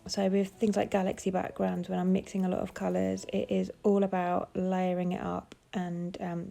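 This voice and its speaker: A woman with a cold, sounding stuffed up, talks through her craft tutorial step by step. Her voice is gravelly and mellow and stays fairly flat in pitch. Soft music plays faintly underneath. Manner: calmly explains each step as she works. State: has a cold, sounds bunged up. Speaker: papercraft designer. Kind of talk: casual narrated craft tutorial.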